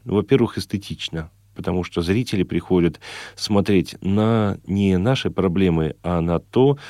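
A man with a very low, mellow voice answers interview questions at length. His wording is formal and measured, explanatory in style. The sound is dry and close. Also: no room sound. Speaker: guest, classical singer and cultural organizer. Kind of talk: interview conversation, reflective and explanatory.

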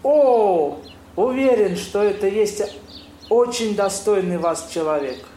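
Guy acting a flustered polite young man, high voice, broken grammar, echoey with faint birds. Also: expressive, warm tone. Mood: delighted. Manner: halting, ungrammatical foreigner's speech. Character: courteous young Italian man, flustered, speaking broken Russian. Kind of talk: amateur audio play acting. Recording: roomy echo, noisy birdsong ambience.

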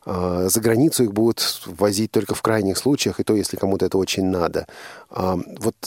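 Male radio host, low and gravelly, earnest and emphatic, thinking aloud in a close, dry sound. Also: fairly even intonation, a little mellow, dry recording. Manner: earnest, emphatic, halting with repetitions. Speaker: radio host interviewing a guest. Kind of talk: reflective radio interview.

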